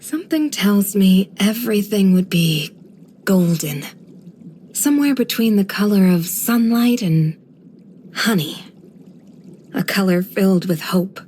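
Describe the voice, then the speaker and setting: A woman voicing a serene, kindly guardian of a desert village in a video game, with a gentle, measured, formal storytelling delivery. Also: medium pitch, slight background noise. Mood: warm, hopeful. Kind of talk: scripted video game character voice lines.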